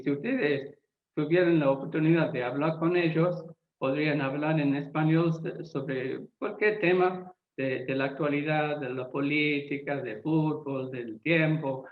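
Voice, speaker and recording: A man who is not a native Spanish speaker, a Bible translator giving a lecture-style talk, deliberate and often pausing to search for words. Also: a little high. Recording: echoey, tinny phone-like sound.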